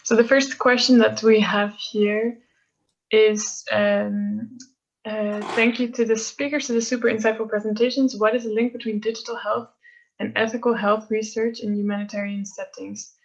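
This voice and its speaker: A woman's voice: mid-range voice, level tone, moderate pace, vocal fry. Woman moderator, dull online-call audio. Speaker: panel moderator. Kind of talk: moderating, reading out audience questions. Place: online video conference.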